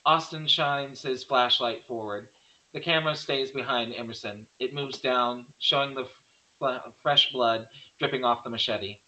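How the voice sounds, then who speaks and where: A man's voice: medium pitch, normal speed, pushed and bright, lo-fi sound. A man narrating stage directions from a script at a table read, reading plainly and occasionally stumbling over words.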